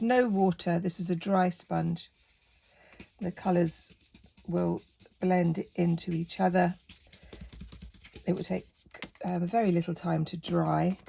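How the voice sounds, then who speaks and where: A woman with a croaky voice, chatting casually through a painting tutorial and gently encouraging the viewer. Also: on the low side. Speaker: art tutor.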